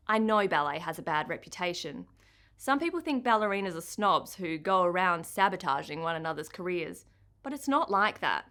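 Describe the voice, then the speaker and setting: A woman with an Australian accent, an author giving an expressive first-person reading of her children's book. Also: fairly low pitch.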